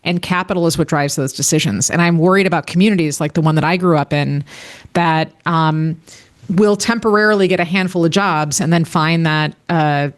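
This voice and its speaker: A woman's voice: low-pitched, brisk. A middle-aged woman from Northwest Indiana, talking through her points in a casual panel discussion, restarting her sentences as she thinks. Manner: earnest, thinks aloud with many restarts.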